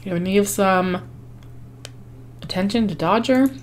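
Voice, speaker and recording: Hoarse woman chatting through a game. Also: medium pitch, normal speed, a little hiss or hum. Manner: chatty, rambling with frequent ums and small laughs. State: hoarse, losing her voice. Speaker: gaming channel host. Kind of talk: casual gameplay commentary.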